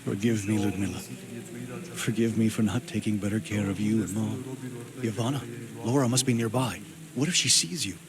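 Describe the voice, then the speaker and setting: A man's voice: lowish voice, moderate pace, a crowd in the background, natural voice. A man playing a somber, subdued grieving brother who is the protagonist of a video game. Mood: mournful. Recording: rain and ambient noise.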